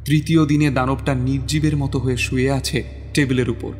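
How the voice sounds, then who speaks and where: A man's voice: medium pitch, little pitch movement, a little fast. A man narrating a horror audio story from a script in a dramatic, suspenseful, theatrical manner. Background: music.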